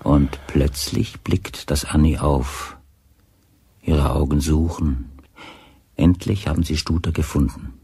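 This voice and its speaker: A man narrating a crime radio play in a deliberate, literary storytelling manner. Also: extremely low pitch, fairly even intonation, dark tone, slightly rough, dry recording, clean studio sound, full character voice. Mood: measured, observant. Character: measured, observant male narrator of a crime story.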